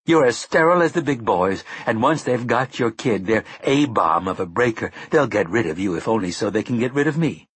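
A man's voice: medium pitch, expressive, a little fast, hardly any pauses, a bit bright, breathy edge, no echo. A man voicing a tough, determined woman who questions bluntly and presses hard. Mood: pressing.

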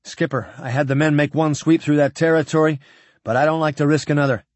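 A man's voice: a little high, steady tone, brisk, no echo, natural voice. Male reader doing a plainspoken marine officer, blunt, wary; dry booth.

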